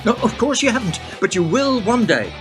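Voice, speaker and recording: Actor as eccentric old grandad inventor over music. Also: male voice, sits high, sing-song, fairly quick. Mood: earnest, cryptic. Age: older. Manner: theatrical, old-fashioned formal phrasing. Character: eccentric grandfather inventor, cryptic, eager time-traveller. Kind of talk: radio play acting.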